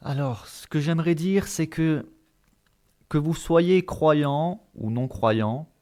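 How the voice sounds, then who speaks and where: A man's voice: on the high side, takes it slowly, mellow. A man from Marseille answering in an interview, hesitant and searching for his words. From Marseille, France. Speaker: interview guest, volunteer visitor of the elderly.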